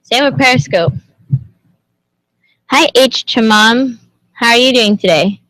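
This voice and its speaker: A woman's voice: fairly high pitch, slow pace, fairly bright tone, dry recording, poor audio. A young woman who grew up in Indonesia, hosting a casual livestream and chatting with viewers, greeting them and asking lots of questions. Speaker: livestream host.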